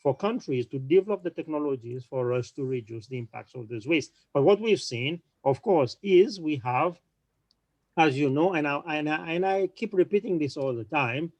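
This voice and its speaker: A man speaking on an online panel, talking quickly with frequent restarts and repeated words. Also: a little high, lively intonation, darkish tone, no echo, muffled recording.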